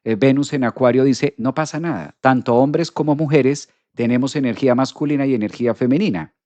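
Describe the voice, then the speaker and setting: A man's voice: mid-range voice, a little fast, a little mellow, no room sound, highs cut off. A man hosting an astrology live stream who reads viewer comments aloud. He often repeats phrases and agrees with "exacto". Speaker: astrologer host.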